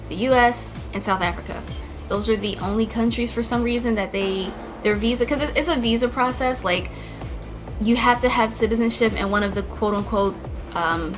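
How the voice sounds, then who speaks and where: A young woman vlogging in a casual, chatty way, peppering her talk with fillers and restarts. Music plays clearly behind her voice. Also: mid-range voice. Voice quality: chatty, many likes and restarts. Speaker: vlogger, former teacher. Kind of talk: casual chat to camera.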